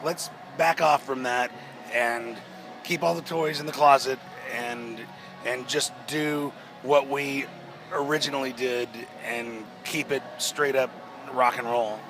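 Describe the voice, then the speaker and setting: A man in a band answering interview questions at a festival, his voice naturally hoarse, talking in a halting way with many restarts and fillers. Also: mid-range voice, steady tone, over music. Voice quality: hoarse-sounding. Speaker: rock band member. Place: outdoors at a music festival.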